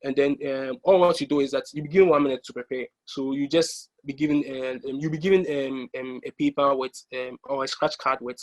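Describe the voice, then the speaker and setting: A young man from Ghana presenting an online exam-prep workshop over slides, pausing often with ums and restarting his sentences. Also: medium pitch, fairly even intonation, poor audio. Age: young adult. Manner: hesitant, many ums and restarts.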